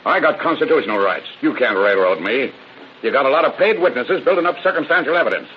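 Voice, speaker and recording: An actor playing a defiant, sneering murder suspect in an old radio crime drama, blustering and combative. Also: male voice, mid-range voice, animated, fast talker, lightly acted. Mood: angry, defiant. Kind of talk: 1930s-40s radio crime drama acting. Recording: muffled, noisy old radio recording.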